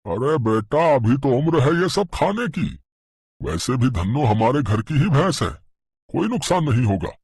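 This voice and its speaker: A man's voice: mid-range voice, very animated, normal speed, vocal fry, darkish tone, slightly rough, no echo, full character voice. A man voicing a kindly, firm older father-in-law, hearty in manner, in a family moral story. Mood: delighted, hearty.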